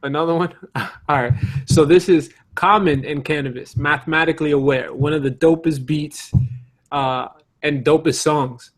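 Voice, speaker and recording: A man chatting casually as a podcast co-host, excitable and often repeating his phrases. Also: a little high, moderate pace. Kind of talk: casual comedic group chat.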